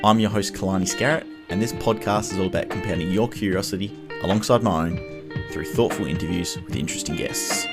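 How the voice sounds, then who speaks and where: A man's voice: low-pitched, fairly quick, over loud music. A man hosting a podcast, reading an upbeat, enthusiastic introduction and welcoming his guest. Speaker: podcast host.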